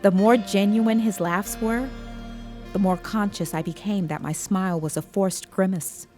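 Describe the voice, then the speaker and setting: An actress playing a tense, caring police investigator, narrating a grim story in measured, literary first person. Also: female voice, medium pitch, fairly even intonation, darkish tone, soft background music, natural voice. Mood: measured, uneasy. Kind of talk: first-person scripted audio drama narration.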